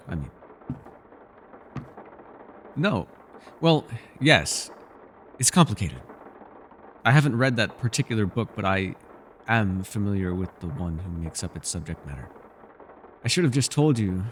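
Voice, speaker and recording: A man performs a bookish male elf companion for a fantasy audio roleplay, with a lowish voice and very lively intonation. He is wry, guarded and formally wordy, and the sound carries a long hall-like reverb. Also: normal speed, pauses often, slight background noise, lightly acted. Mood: apologetic, hesitant. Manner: wry, wordy and formal, flustered when pressed. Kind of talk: fantasy ASMR roleplay acting.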